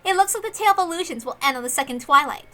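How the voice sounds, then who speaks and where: Casting: woman to play proud young female sleuth for visual novel; high-pitched, piercingly bright, theatrical monologues. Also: expressive, soft background music. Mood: menacing triumph. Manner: theatrical, deliberate, dramatic monologue delivery. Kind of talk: dramatic reading of a visual novel.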